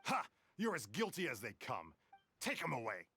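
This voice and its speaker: A scoffing guard. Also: male voice, mid-range voice, huge pitch swings, normal speed, bright, forceful tone, slightly rough, dry recording, heavily acted. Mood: scornful. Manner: scoffing and dismissive. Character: scornful male guard, accusing.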